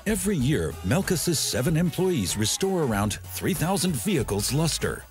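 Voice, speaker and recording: A man reading scripted documentary voice-over in a measured, informative way. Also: on the high side, sing-song. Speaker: documentary narrator. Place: studio voice-over. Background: background music.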